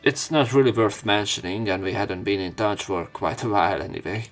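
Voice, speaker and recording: Low-voiced man reading a visual novel aloud. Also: fairly quick, soft background music. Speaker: let's player. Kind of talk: let's play commentary, reading visual novel text aloud.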